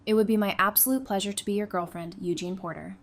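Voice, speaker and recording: A woman's voice: mid-range voice, fairly even intonation, quick pace, gravelly, close to an everyday voice. An actress playing a sensible, affectionate, plain-spoken woman in an audio drama. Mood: happy. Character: frank, teasing, sensible woman, affectionate with her sweetheart.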